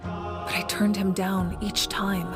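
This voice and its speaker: A woman's voice: medium pitch, music in the background, close to an everyday voice. Woman as a composed, resolute warrior leader. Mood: reflective. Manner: calm, measured, assured. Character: composed female warrior leader, confident, resolute and fair-minded. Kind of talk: video game voice acting.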